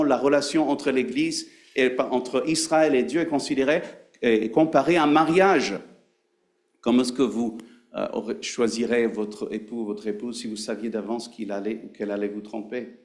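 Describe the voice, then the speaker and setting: A man preaching a sermon in a conversational, halting way, with frequent fillers. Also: mid-range voice, darkish tone.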